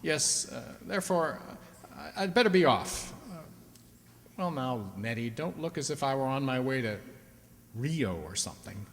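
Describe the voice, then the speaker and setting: An actor playing an evasive, henpecked husband in a radio play, awkward and stammering. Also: male voice, medium pitch, lively intonation, moderate pace, a bit bright. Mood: impatient, placating. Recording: echoey hall, stage-like reverb.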